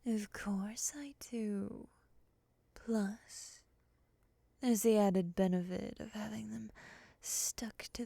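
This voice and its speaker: Woman voicing a soft, creaky, possessive moth mommy. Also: medium pitch, dry recording, exaggerated voice. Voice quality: soft, breathy, often near-whispered. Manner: measured, scolding, coolly teasing. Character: composed, bossy, possessive moth monster woman captor. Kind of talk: ASMR roleplay acting.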